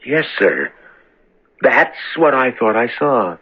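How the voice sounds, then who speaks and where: A man's voice: mid-range voice, sing-song, takes it slowly, breathy edge, heavily acted. An actor playing a deferential, urgent male hospital attendant in a 1970s radio drama. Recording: muffled, noisy 1970s radio recording.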